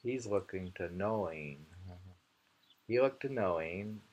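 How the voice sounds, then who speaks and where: A man reading poetry slowly and commenting on it casually, in an unhurried, musing and informal manner. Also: lowish voice, animated, darkish tone. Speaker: poetry reader.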